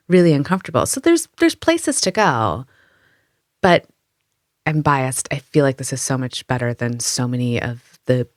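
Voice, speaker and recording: Lively woman, tour guide, dry sound. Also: rumbling low, no echo. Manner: animated, lively, thinks aloud with fillers. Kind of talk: conversational interview answers. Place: Amsterdam.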